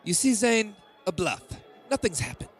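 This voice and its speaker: A man plays a middle-aged fantasy king who rules by scorn. His delivery is lively in pitch, commanding and dismissive, the cold menace of an audio drama tyrant. Faint music sits behind him. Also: normal speed, slightly rough, a faint crowd, full character voice. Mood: mocking.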